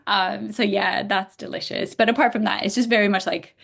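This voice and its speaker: A woman from Bulgaria, a guest in a casual interview, talking in an animated way with frequent filler words and quick laughs. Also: mid-range voice, vocal fry.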